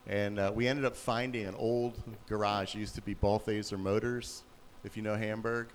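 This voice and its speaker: Deep-voiced man telling a story. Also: moderate pace, slight background noise. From Pennsylvania, USA. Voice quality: very low voice. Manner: relaxed, plain-spoken storytelling. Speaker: youth pastor. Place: church.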